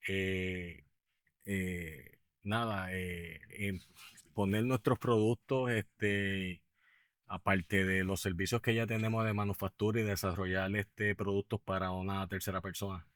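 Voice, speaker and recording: A man in his fifties with a Puerto Rican accent, a guest in a podcast interview, talking with many pauses, fillers and restarts. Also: deep voice, fairly even intonation, fairly bright tone, dry recording, clean studio sound. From Puerto Rico. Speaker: guest, business owner.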